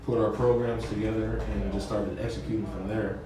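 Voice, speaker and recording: A low-voiced man talks easily at a party mixer, circling back over his points as he explains. He stands back from the mic, and the room rings with heavy echo over the steady chatter of the crowd. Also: a little fast, recorded from a distance. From San Diego area. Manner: relaxed, repeats phrases, explains patiently. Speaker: interviewee, media production teacher. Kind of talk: casual interview chat. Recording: echoey event room, off-mic, noisy.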